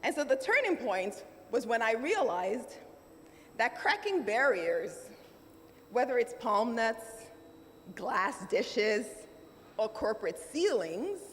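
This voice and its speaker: A middle-aged woman with a Nigerian accent gives a motivational keynote speech, measured and emphatic, with dramatic pauses. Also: a little high, very animated, a bit bright. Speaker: keynote speaker. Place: large conference hall. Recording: roomy hall reverb, faint room noise.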